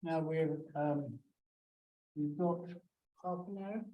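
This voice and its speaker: A woman chairing a conference session, briskly inviting questions. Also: sits low, narrow, tinny audio. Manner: brisk, courteous. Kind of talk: chairing a discussion. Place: conference hall.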